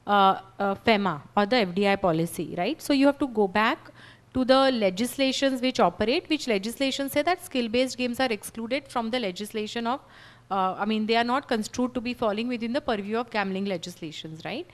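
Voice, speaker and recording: Woman, panelist, slightly high pitch, measured, explanatory. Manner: measured, explanatory, frequent "you know" and "right?". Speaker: legal panelist. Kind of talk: panel discussion answer.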